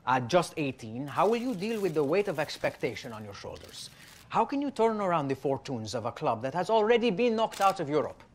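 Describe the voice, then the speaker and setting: An actor playing a probing, sceptical sports reporter, formal and deliberate, at a press conference in a game. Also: male voice, a little high, big pitch swings, slight background noise. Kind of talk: video game cutscene acting.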